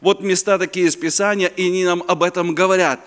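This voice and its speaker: Ukrainian guy in his 50s preaching, jokey, hunts for words, smooth clear voice, big echoey hall. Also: high-pitched, fairly even intonation, fast talker, fairly bright tone. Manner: chatty, joking, searching for words. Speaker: guest preacher. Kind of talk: sermon at a youth conference. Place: conference hall. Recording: reverberant hall.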